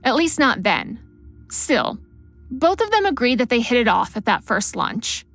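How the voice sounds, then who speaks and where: Podcast narrator, woman, bright high register, measured scripted delivery. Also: big pitch swings, vocal fry, dry recording, soft background music.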